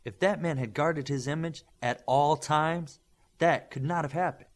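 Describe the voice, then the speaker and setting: A man voicing a stern, principled instructor who lectures young superhero trainees in measured, emphatic audiobook dialogue. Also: a little high. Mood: stern, lecturing. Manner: emphatic, didactic, measured lecturing with rhetorical questions.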